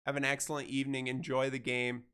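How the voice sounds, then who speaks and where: A man's voice: mid-range voice, completely flat delivery, fast talker, a bit bright, clean tone. A man streaming a video game in casual chat, congested from a head cold and sounding nasal, easygoing and chatty as he thanks his viewers. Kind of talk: casual gameplay livestream chat.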